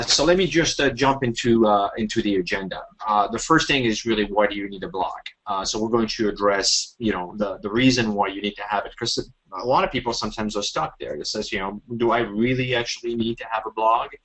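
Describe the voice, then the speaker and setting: A French-accented man in his forties leads an online presentation. He talks haltingly and fills his pauses with "uh" and "um". His voice sits a little low. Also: a bit bright, rough recording. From France. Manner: halting, many fillers and restarts. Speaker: webinar presenter.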